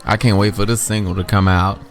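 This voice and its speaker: A man's voice: fairly low pitch, flat delivery, fairly quick, vocal fry, pitched below the performer's own voice. A man voicing a tipsy, sassy, loyal male best friend with a drawl in an audio story. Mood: supportive.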